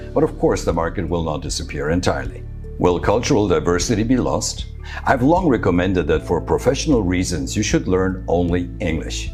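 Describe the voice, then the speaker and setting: Male presenter, native Serbo-Croatian speaker, very low voice, measured scripted delivery, faint music under it. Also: darkish tone. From Serbia. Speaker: futurist presenter. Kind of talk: scripted talk to camera.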